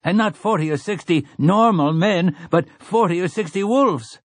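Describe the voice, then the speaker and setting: A man's voice: sits high, normal speed, dry recording, voice pushed up. A man voicing a plain-spoken, doubtful farmer in an audiobook, arguing insistently through rhetorical questions. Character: doubtful, plain-spoken male farmer pleading practical sense.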